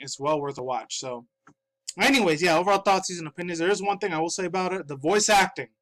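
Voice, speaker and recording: A man's voice: a little high, fairly bright tone, poor audio. A man in his thirties, a little stuffy-nosed, rambling casually and profanely through gaming news in his room, often laughing. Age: 30s. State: stuffy from dust. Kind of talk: casual video commentary.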